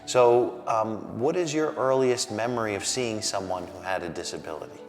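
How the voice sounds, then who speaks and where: A man's voice: lowish voice, moderate pace. A man hosting a workplace interview series, asking curious, probing follow-up questions. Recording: echoey hall with music behind.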